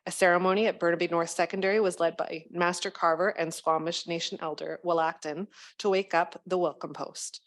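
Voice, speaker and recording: A woman's voice: fairly low pitch, fairly even intonation, fairly quick, few pauses. A woman reads out community news as a school trustee. She follows a script with formal delivery. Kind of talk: scripted news roundup reading.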